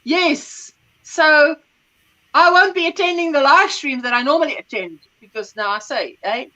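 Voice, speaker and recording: A woman's voice: high voice, normal speed, bright, forceful tone, highs cut off. A middle-aged woman, an Afrikaans speaker, hosting a casual livestream chat, rambling and searching for words as she reads comments. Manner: chatty, rambling, searches for words, laughs often. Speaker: livestream host.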